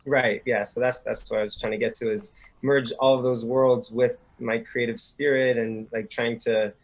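A young man answering interview questions, halting and repeating words as he gets going. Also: medium pitch, flat delivery. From Sweden, raised in Montreal. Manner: hesitant, repeats words as he starts. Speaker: guest, rabbinic student.

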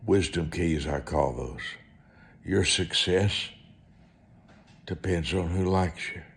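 A man preaching through personal storytelling, speaking in short, deliberate, emphatic phrases and repeating them for effect. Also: sits low. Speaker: preacher and author telling testimony. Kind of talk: storytelling sermon monologue.

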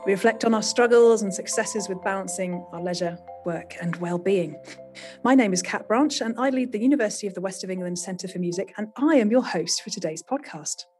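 A woman hosting an academic podcast, reading a measured, welcoming introduction. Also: medium pitch, a little mellow, soft background music. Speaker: podcast host.

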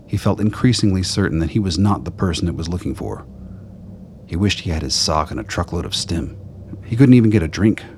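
A man's voice: sits low, a little mellow, slight background noise. A man narrating a scripted radio play as storyteller.

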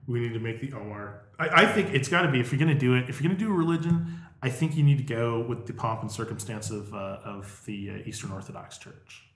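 A man in his forties hosts a casual comedy podcast, rambling through stories and tossing out sarcastic asides. His voice sits at an ordinary middle pitch. The room adds a noticeable echo. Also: lively intonation, fairly bright tone. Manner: joking, rambling, quick asides. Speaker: podcast host.

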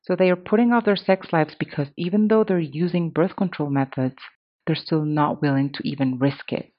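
A woman's voice: sits low, normal speed, lo-fi sound. A woman in her thirties hosting a podcast, reading scripted commentary in a candid, conversational way. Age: 30s. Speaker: podcast host.

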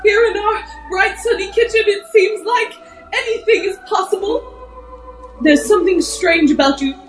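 A woman's voice: extremely high pitch, moderate pace, reverberant, soft background music, poor audio. A woman playing a sweetly naive, tearful wife in an improvised radio melodrama, with a plaintive manner. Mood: wounded. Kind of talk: improvised old-time radio drama parody.

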